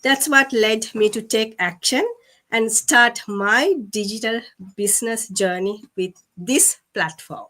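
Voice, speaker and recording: A woman's voice: a little high, slow, bright, forceful tone. A woman from Sri Lanka, a guest on a livestream interview, explaining earnestly with some fillers.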